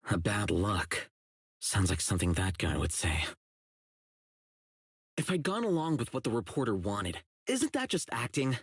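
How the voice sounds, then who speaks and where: A man's voice: fairly low pitch, big pitch swings, measured pace, pauses often, breathy edge, dry recording, clean studio sound, full character voice. An actor playing a blunt, earnest young policeman who is socially oblivious, in video game voice lines. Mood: puzzled, indignant. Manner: blunt, earnest, literal-minded. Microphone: close studio mic, isolated dry voice track.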